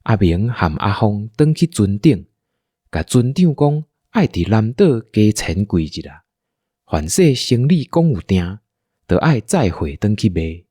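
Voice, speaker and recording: Man, Taiwanese accent, scripted story narrator, husky, animated delivery, dry close recording. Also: lowish voice, a little slow, dry recording. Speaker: narrator of an audio drama.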